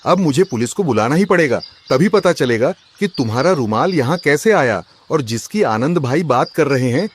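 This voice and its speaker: A man playing an agitated, suspicious rich merchant husband in a cartoon, loud and complaining. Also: mid-range voice, expressive, moderate pace, few pauses, close to an everyday voice. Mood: accusing. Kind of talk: Hindi cartoon story voice acting.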